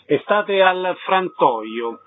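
Male radio interviewer, slightly high pitch, concise questions and announcements, muffled audio. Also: animated, very slow. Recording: dull, noisy radio interview audio with clicks.

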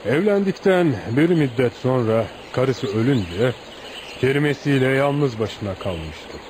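A man's voice: mid-range voice, lightly acted. An actor playing a respectful village man in his fifties who tells stories earnestly and solemnly in a Turkish radio play. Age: 50s. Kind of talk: Turkish radio theatre acting. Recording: noisy radio drama recording, outdoor ambience.

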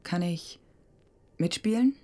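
A woman's voice: lowish voice, animated, slow, mellow. An actress playing a terse, guarded woman in a naturalistic radio drama, speaking in short, laconic replies. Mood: reserved, polite. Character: terse, guarded woman buying an illegal gun.

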